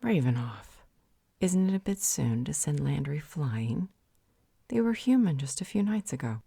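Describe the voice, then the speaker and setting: A woman playing a motherly healer in a roleplay audio drama, soft and fussing with a creaky, breathy voice. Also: sits low, big pitch swings, measured pace, dry recording, studio quality, heavily acted. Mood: caring, concerned. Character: motherly female healer, caring but fed up.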